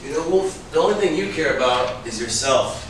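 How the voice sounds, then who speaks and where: An actor playing a proud, defensive, boozy screenwriter in a theatrical staged reading, speaking in a sardonic way. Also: male voice, fairly high pitch, animated, moderate pace, natural voice. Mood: irritated, proud. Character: cynical, boozy, washed-up male screenwriter-director, proud and defensive. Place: indoor room at a live staged reading. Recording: echoey room, distant mic, noisy background hiss.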